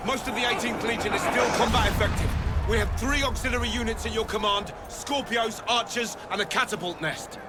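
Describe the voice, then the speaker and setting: Dutiful legionary for game drama, male actor: very high-pitched, clipped military report over a roaring crowd. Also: a little fast, close to an everyday voice. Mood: dutiful, urgent. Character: dutiful Roman soldier reporting to his commander. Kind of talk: dramatic video game acting. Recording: loud music and crowd behind.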